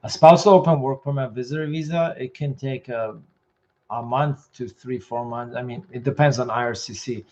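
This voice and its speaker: Hoarse man answering immigration questions. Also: mid-range voice, fairly even intonation, darkish tone, dull audio. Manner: quick, matter-of-fact answers, reads comments aloud. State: losing his voice. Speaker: immigration consultant host. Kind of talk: live Q&A reading viewer questions.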